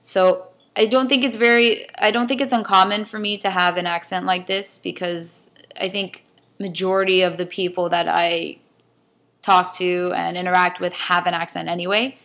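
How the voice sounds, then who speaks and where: A woman's voice: fairly low pitch, flat delivery, normal speed, fairly bright tone. Young Californian woman with a muddled accent. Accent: California, mixed with a Chinese-immigrant lilt. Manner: rambling, hesitant, thinking aloud with many ums. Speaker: vlogger. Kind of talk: casual rant to camera.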